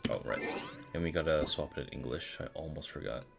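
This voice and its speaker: A man with a very low voice streams a game. He talks informally, thinking aloud and swearing freely as he comments. Music plays behind him. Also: a little fast, creaky. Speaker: game livestreamer. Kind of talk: casual stream chat with gameplay commentary. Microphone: streaming microphone.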